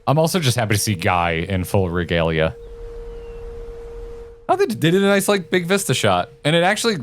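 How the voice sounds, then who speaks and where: An excitable man co-hosts a gaming reaction show, talking in slangy, opinionated bursts. His pitch is middling and swings around in a lively way. Faint music plays behind him. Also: fairly quick. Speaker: co-host of a video game reaction show. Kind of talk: casual reaction commentary.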